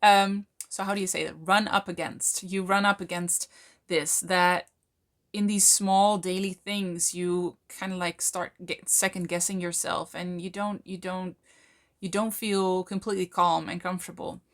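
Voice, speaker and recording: A Dutch woman, a native Dutch speaker talking in English, explaining things to camera in a thoughtful way, with many fillers and slow, stretched words for emphasis. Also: lowish voice, little pitch movement. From Netherlands. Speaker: channel host, coach. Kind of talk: casual explanatory talk to camera.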